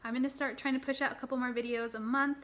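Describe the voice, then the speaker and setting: Excited woman in her thirties vlogging. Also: sits high, fast talker. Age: 30s. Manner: excited, rambling with fillers and restarts. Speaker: personal finance vlogger. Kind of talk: casual talk to camera.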